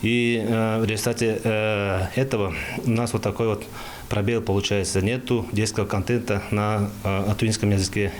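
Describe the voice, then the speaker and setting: Halting young man, lowish voice. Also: level tone, slight background noise. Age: young adult. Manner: halting, searching for words. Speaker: researcher and project organiser. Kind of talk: unscripted interview answer.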